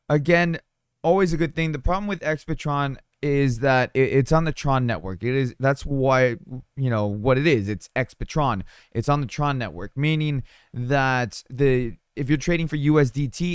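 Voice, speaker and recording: A man with a slightly hoarse, sore throat talking casually over a screen share, rambling with fillers and restarts. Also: medium pitch, dry recording, studio quality. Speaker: crypto mining YouTuber. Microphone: studio desk mic.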